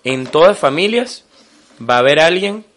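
Hombre venezolano, charla informal. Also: male voice, on the high side, normal speed. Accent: Venezuelan Spanish. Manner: joking, animated, full of slang. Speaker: podcast co-host. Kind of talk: casual chat.

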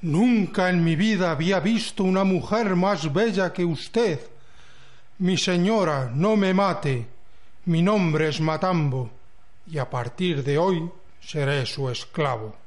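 A man playing a devoted, lovestruck gentle giant in an amateur radio drama, speaking solemnly. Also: high voice, slow. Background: music.

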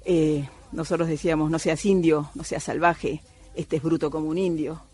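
A woman's voice: sits low, fairly even intonation, normal speed, a little mellow, breathy edge, faint music behind. A middle-aged woman from Cuba, an anthropologist, speaking thoughtfully with many hesitations in a radio interview. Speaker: anthropologist guest.

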